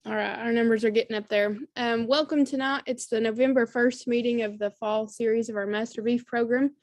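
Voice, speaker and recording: A woman's voice: fairly high pitch, brisk, dry recording. A woman hosting a webinar and introducing the night's speaker in an upbeat way, with some "um" fillers. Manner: upbeat, with "super excited" and "um" fillers. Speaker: webinar host. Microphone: video call.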